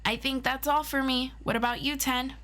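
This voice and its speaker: A woman's voice: high-pitched, fairly even intonation, normal speed, a bit bright, pitched above the performer's own voice. A woman voicing an eager, protective young hero, a loyal and earnest friend, in an audio roleplay. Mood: eager, relieved.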